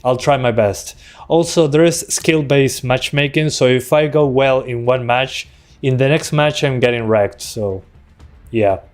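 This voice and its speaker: A man's voice: mid-range voice, unhurried, faint music behind. Easygoing man streaming a shooter. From Uruguay. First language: Spanish. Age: 20s. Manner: relaxed, chatty, answers chat between fights. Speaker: tech streamer. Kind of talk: casual live-stream commentary while gaming. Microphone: desktop USB microphone. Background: faint game gunfire.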